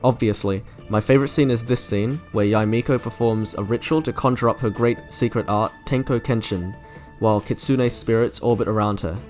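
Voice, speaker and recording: A man's voice: on the low side, a little fast, a little mellow. A man delivering scripted commentary as the host of a video reviewing game character trailers. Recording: music under the voice. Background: background music.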